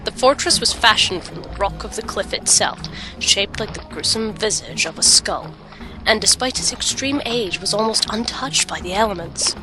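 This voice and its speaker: A woman's voice: medium pitch, close to an everyday voice, rough recording. A woman playing a thoughtful, questioning young adventurer in a fantasy audio drama, speaking earnestly and reflectively. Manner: earnest, reflective, literary phrasing. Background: music.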